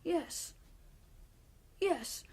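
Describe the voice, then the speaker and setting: A woman playing a morbid, excitable, childlike young man in a radio play, eager and naive with a giggly manner. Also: very slow. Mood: petulant, unsettled.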